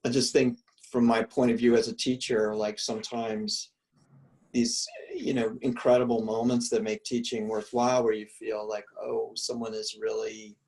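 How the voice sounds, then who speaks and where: A male art history professor musing aloud in relaxed conversation, full of restarts and fillers, speaking from a room at home on a video call. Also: lowish voice, moderate pace, distant mic, rough recording. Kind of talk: reflective conversational answers.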